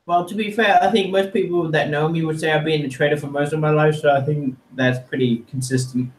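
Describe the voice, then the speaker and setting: A man's voice: on the high side, fairly even intonation, fairly quick, reverberant, low-quality recording. A man from England whose accent blends English and American, joking dryly about himself in casual chat. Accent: mixed English and American. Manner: dry, self-deprecating humour.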